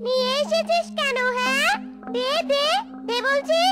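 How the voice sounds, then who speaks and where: A furious female ghost in a cartoon. Her voice is extremely high and shrill, demanding and menacing, over strong music. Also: steady tone, measured pace. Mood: indignant. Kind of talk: Bengali cartoon dialogue.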